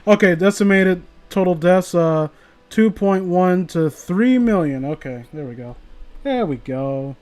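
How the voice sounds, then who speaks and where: Male livestreamer, high-pitched, tipsy and foul-mouthed, loose rambling chat and reading, music behind. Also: animated, a little slow, darkish tone. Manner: rambling, profane, opinionated. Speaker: livestreamer reading online horror stories.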